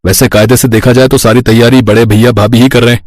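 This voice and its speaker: Man acting easygoing husband, agreeable, mid pitch, cartoon family drama, dry recording. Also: little pitch movement, fast talker, no echo, lightly acted. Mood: reasoning.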